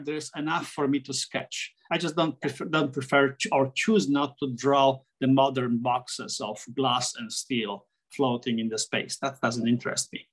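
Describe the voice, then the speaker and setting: Polish-accented man, halting and thoughtful. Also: medium pitch, fairly bright tone. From Poland. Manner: halting, many restarts and fillers, thoughtful. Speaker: interview guest, architect and sketcher. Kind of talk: video call interview. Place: small room at home, framed pictures on wall. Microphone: laptop webcam on video call.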